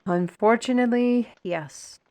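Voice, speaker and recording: A woman's voice: on the high side, a little slow, warm tone, lightly acted. A woman playing a proud, headstrong young apprentice, formal and spirited, in an audio fantasy drama. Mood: rueful, scornful.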